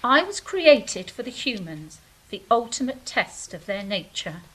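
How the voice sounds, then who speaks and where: A woman's voice: a little high, big pitch swings, unhurried, fairly bright tone, husky, full character voice, low-quality recording. A woman playing a flippant, restless, ever-hungry angel in a comic audio drama, wry and petulant.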